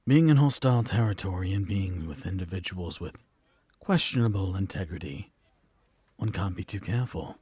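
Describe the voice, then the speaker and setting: A man's voice: low voice, animated, moderate pace, a little mellow, husky. A man acting an authoritative knight commander in a fantasy audio drama, formal and clipped in his orders. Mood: stern, formal.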